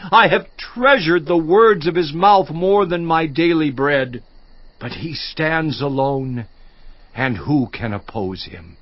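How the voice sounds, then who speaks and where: Anguished suffering man, audio drama, very slow, hushed and grave, dry dull studio sound. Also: a little high, no echo. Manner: slow, grave, sinking to a hushed near-whisper. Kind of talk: dramatized scripture reading.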